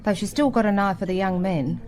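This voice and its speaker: A woman's voice: mid-range voice, brisk, darkish tone, natural voice. An actress playing a gossipy, chirpy young English working woman in a radio drama, chatty and confiding. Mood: gleeful gossip.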